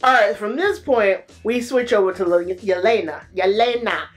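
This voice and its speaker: A woman recapping a movie in a casual, animated way, full of slang and playful exclamations. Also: mid-range voice, moderate pace. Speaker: movie reviewer.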